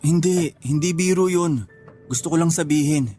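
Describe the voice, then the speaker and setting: A man playing a remorseful, sheepish young boyfriend in a Tagalog radio drama, apologetic and halting in his speech. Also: fairly high pitch, warm tone, faint music behind, lightly acted. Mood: ashamed, subdued.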